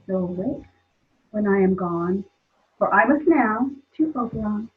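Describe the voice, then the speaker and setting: Muffled phone-like call audio, woman as mischievous fairy sprite, slow, deliberate incantation in stage verse. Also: mid-range voice, expressive, natural voice. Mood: spellcasting. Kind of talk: stage verse read aloud at a table read. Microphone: video call.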